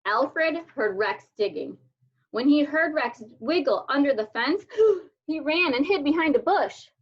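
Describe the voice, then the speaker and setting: A woman reading a children's picture book aloud as a storyteller, with expressive, deliberate delivery. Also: sits high. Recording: dull lo-fi sound.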